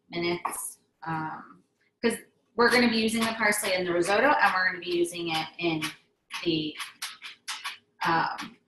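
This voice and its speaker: A woman's voice: fairly low pitch, background noise. Chatty young woman, echoey kitchen. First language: Hebrew. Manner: chatty, enthusiastic, many ums and restarts. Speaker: cooking class instructor. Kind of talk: casual cooking demonstration.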